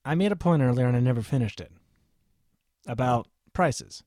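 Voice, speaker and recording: A self-assured man in a small home studio holds forth on microphones in an emphatic, lecturing way. His pitch swings widely from word to word. He speaks close to a studio mic in a dry room with no echo. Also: animated, moderate pace, warm tone. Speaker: microphone reviewer. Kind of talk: opinionated gear review to camera. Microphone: studio microphone, switched during the video.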